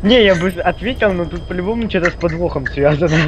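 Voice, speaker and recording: A man's voice: high-pitched, quick pace, faint music behind. A man chatting casually during a game in a hesitant, puzzled way. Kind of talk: casual chat while gaming.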